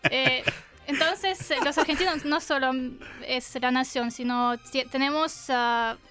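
A woman in her twenties from Russia with a Russian accent, speaking as a guest in a radio studio and pausing often to search for words. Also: high-pitched, fairly bright tone, faint music behind. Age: 20s. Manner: hesitant, searching for words with many fillers. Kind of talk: interview answers. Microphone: studio microphone.

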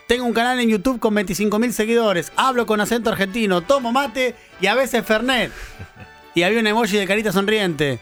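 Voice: mid-range voice, a little fast, fairly bright tone. A chatty radio host with a Rioplatense accent talks to a guest in the studio and laughs easily. Faint music runs underneath. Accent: Rioplatense Spanish. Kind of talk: radio interview.

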